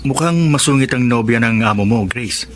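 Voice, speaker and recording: A man's voice: medium pitch, normal speed, a little hiss or hum, close to an everyday voice. Man acting a polite, caring suitor. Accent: Filipino. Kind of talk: Filipino radio drama acting.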